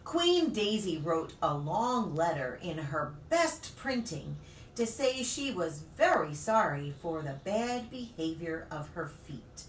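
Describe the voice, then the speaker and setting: Female storybook reader for preschoolers, very lively intonation, deliberate pauses; roomy, distant recording. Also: fairly low pitch. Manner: deliberate phrasing with dramatic pauses. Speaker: pastor reading children's stories. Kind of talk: expressive storybook read-aloud for preschoolers. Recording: roomy, distant mic with faint noise.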